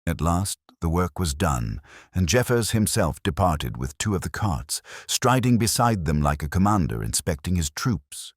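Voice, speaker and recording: A man reading a scripted dramatic mystery story in a measured, grave manner. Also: deep voice, sing-song, no room sound, studio quality. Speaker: audiobook narrator.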